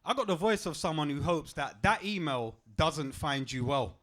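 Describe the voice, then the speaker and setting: A young man, doing a stand-up comedy set on a club stage with a deadpan, punchy delivery. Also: normal speed. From England. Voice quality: deep voice.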